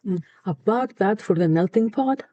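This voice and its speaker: Greek lady talking slow and thoughtful, mellow low-key voice, dull muffled sound, dry. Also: lively intonation, no echo. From Greece. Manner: earnest, thoughtful, pauses with um. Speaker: co-host. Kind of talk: casual conversation.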